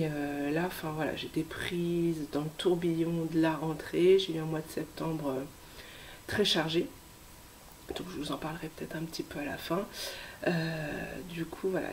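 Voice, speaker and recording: A woman in her thirties, hoarse from a cold, hosting a casual knitting vlog with many hesitations and soft asides. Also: deep voice, a little hiss or hum. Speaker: knitting podcast host.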